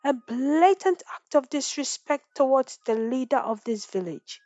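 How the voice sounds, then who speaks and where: A woman voicing a proud, furious village chief in an animated folktale, commanding, thundering and scornful. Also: sits high, unhurried, dry recording, muffled recording, pitched above the performer's own voice. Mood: indignant.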